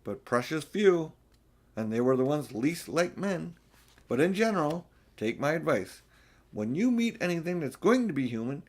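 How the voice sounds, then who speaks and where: A man's voice: fairly high pitch, sing-song, normal speed, a little mellow. A man voicing an earnest, cautious male beaver in a storybook, explaining things in an emphatic, folksy way. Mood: cautionary. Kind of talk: storybook character voice.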